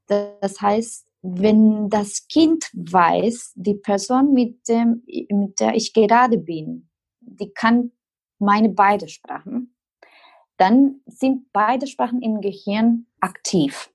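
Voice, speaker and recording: Slow, gravelly woman with Spanish accent. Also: mid-range voice, a little mellow. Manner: explains slowly with many fillers and restarts. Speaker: guest. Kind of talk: interview answer.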